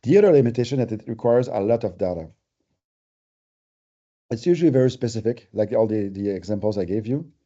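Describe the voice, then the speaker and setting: A man's voice: on the low side, expressive, brisk, pauses often, mellow, dull audio. A man with a French Canadian accent, giving a conversational, explanatory webinar presentation with everyday examples. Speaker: company presenter.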